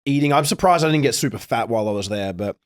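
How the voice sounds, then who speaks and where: A man's voice: medium pitch, lively intonation, fast talker, clear, resonant tone. An Australian man hosting a podcast in casual chat, effusive and swearing freely. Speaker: podcast host.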